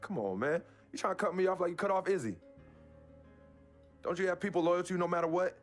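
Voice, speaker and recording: An actor playing a young basketball star in a game drama, earnest, defensive and loyal, with casual street phrasing. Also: male voice, high-pitched, expressive, fast. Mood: hurt, indignant. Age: young adult. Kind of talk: naturalistic dramatic game cutscene acting. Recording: music behind the voice.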